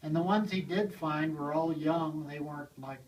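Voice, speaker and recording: Older man, slightly high voice, rambling blacksmith storyteller, recorded from across the room on a camera. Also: steady tone, normal speed, a little mellow, a little hiss or hum. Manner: rambling, chuckles as he tells it. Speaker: blacksmith telling stories. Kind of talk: casual storytelling to a small group. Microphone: handheld video camera. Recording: distant from the mic, roomy.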